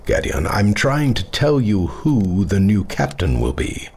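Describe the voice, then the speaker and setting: An aging warrior father for a fantasy audio drama. He speaks low with a gravelly grain and swinging intonation, measured and formal, proud and loving beneath the gravity. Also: very animated, darkish tone, exaggerated voice. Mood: stern.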